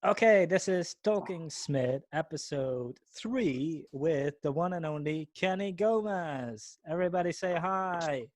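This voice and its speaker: A man's voice: a little high, animated, measured pace, hardly any pauses, no echo. A man hosting a casual podcast, laid-back and joking, often saying "like.". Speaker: podcast host. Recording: dry close sound.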